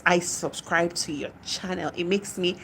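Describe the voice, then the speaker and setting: A woman's voice: fairly low pitch, steady tone, unhurried, heavy background noise. A young adult woman with a Nigerian accent, chatting casually on a live stream as she reads out and answers viewer comments, laughing often.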